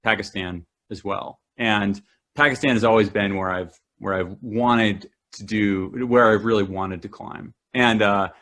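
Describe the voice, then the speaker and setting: A man's voice: fairly low pitch, steady tone, unhurried, a bit bright. A man from New Zealand presenting a climbing talk, enthusiastic and full of fillers and restarts. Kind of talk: presentation to a club audience.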